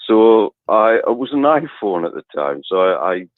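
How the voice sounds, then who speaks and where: A deep, low man rambles through his stories in a chatty way, and his voice rises and falls a great deal. The sound is dry and a little dull, as if it comes over a phone-in line. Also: big pitch swings, moderate pace, dry recording. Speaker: caller. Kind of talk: casual phone-in storytelling.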